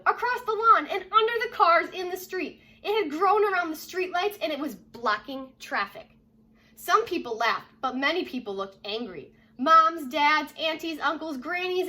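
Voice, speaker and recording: A woman reading a children's storybook aloud as a teacher would, expressively, leaning on key words. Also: high voice. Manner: expressive, stresses repeated words, asks listeners questions.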